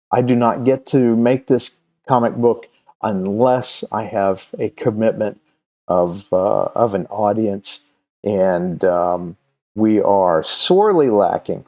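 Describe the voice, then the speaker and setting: A man presenting casually and unscripted as a comics video host, halting and often searching for his words. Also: on the low side, a little mellow. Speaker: comics video host and creator promoting his own campaign.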